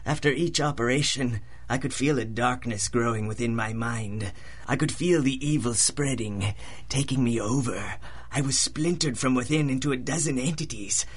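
An actor playing a vengeful, grandiose supervillain in a radio drama, speaking with theatrical, deliberate menace in a husky voice. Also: male voice, medium pitch, natural voice. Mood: bitter, haunted. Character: vengeful male supervillain, grandiose, bitter, unhinged. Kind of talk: 1930s-style radio drama acting.

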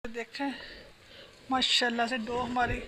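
High flat-voiced woman explaining a recipe. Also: unhurried. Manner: calm, instructional, talks while working. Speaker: home cooking channel host. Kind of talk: step-by-step cooking instructions. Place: home kitchen. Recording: noisy home phone recording.

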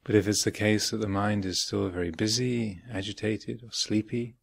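A man giving guided meditation instruction as a teacher, speaking in measured short phrases with long pauses between them. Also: on the low side, level tone, vocal fry, no echo. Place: retreat center, close dry recording.

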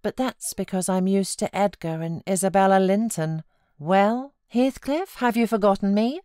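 A British woman voicing a lively, haughty, teasing young girl in a storybook reading. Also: mid-range voice, moderate pace, clean tone, no room sound, studio quality, natural voice. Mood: amused, teasing.

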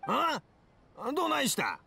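A man's voice: very high-pitched, wildly swooping pitch, creaky, bright, forceful tone, a little hiss or hum, full character voice. A man voicing a genial, hard-of-hearing old fireworks craftsman with a Kansai accent, hearty and rambling, in an anime game. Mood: puzzled. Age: older. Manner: hearty, rambling, slow to catch on.

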